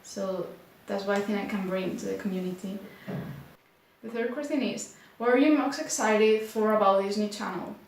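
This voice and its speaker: A young woman from Spain speaking English with a Spanish accent, chatting casually to camera in an unhurried way, full of fillers like "like". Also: mid-range voice, a little hiss or hum. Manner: unhurried, many filler likes, slightly unsure. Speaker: booktuber. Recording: very echoey room.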